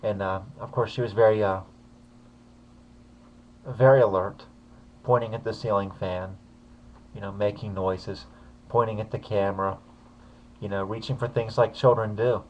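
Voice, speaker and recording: A man's voice: on the low side, steady tone, moderate pace, pauses often, a little mellow, lo-fi sound. A man casually commenting on a video he uploaded, talking hesitantly with many fillers.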